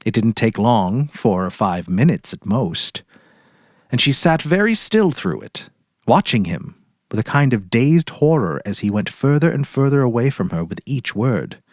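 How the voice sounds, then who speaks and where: A man narrating a literary short story dramatically, in a measured, deliberate way. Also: mid-range voice, big pitch swings, darkish tone, dry recording, studio quality.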